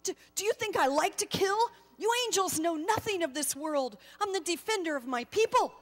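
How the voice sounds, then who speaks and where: Commanding storybook warrior king, acted by a woman: defensive, emphatic, raised high pitch, falters under challenge. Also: expressive, normal speed, in a large hall, soft background music. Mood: indignant, fierce. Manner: emphatic, stammering when challenged.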